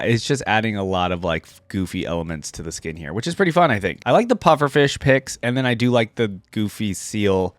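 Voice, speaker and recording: A man's voice: lowish voice, no room sound. A man giving casual, unscripted reaction commentary, thinking aloud in an informal way as he goes. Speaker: reaction video host.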